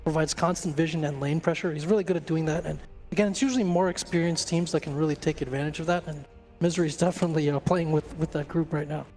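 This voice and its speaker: A man's voice: on the high side, steady tone, fast talker, hardly any pauses, a little mellow. A man explaining draft choices for an esports match, full of ums and uhs. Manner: explanatory, many fillers. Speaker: esports analyst. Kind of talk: draft analysis commentary. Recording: music clearly behind.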